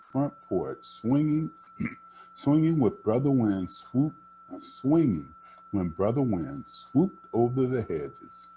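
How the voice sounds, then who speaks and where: An older man reading a children's storybook aloud, with deliberate, dramatic phrasing. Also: mid-range voice, mellow, low-quality recording. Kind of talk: expressive read-aloud storytelling.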